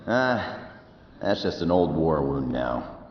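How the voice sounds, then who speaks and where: A man's voice: very animated, slow, breathy edge, muffled recording, full character voice. A man playing a weary, jaded air force captain in a video game, talking in a wry, resigned, colloquial way. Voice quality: deep. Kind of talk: game cutscene voice acting.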